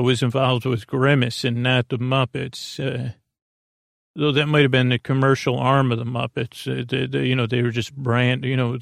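Male sleep podcast host, gravelly creak, close dry recording, halting whimsical meandering monologue. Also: medium pitch, dry recording. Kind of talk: rambling bedtime podcast monologue.

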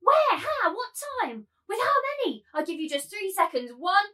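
A woman's voice: very high-pitched, sing-song, normal speed, bright, forceful tone, distant mic, heavily acted. A woman playing a cruel, gloating, domineering madam in a theatrical reading of a novel, taunting and imperious. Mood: stern, imperious. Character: cruel, gloating, domineering brothel madam turned masculine tyrant. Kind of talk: dramatic table reading of a novel.

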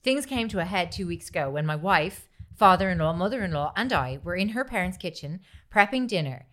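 A woman's voice: on the low side, animated, a little fast. A woman podcast host chatting casually and reading a story aloud, swearing freely and acting out the quoted dialogue.

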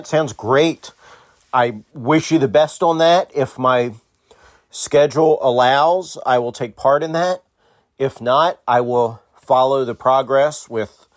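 A man with a mid-pitched voice leaves a casual message for a podcast. He talks in an informal, encouraging way, and the sound is dry with little room. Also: no echo. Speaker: podcast call-in listener.

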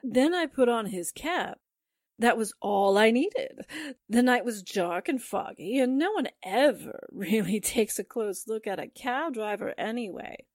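A woman's voice: fairly high pitch, animated, measured pace, no room sound, clean studio sound. A woman playing an unhinged, vengeful married murderess in a theatrical, volatile 1940s-style radio drama. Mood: gloating, deliberate.

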